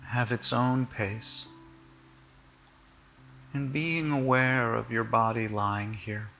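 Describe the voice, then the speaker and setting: A man leading a guided body-scan meditation in short, gentle, measured phrases with long pauses. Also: mid-range voice, steady tone, creaky, soft background music.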